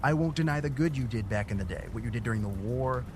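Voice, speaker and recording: A man's voice: medium pitch, expressive, fast, background noise, natural voice. An actor playing a wary, blunt city councilman who argues in a skeptical way in an audio drama. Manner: skeptical, argumentative.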